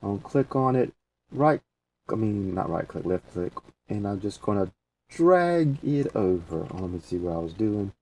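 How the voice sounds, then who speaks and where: A man presenting a casual software tutorial, talking through each step as he goes in informal, folksy phrasing. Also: fairly low pitch, animated, normal speed. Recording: distant mic with faint background noise.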